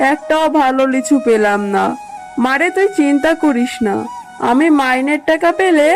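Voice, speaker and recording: Woman voicing a high-pitched gentle jackal mother. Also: close to an everyday voice. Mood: soothing, apologetic. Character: poor, gentle, obedient mother jackal, a hardworking maid. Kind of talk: children's cartoon dubbing.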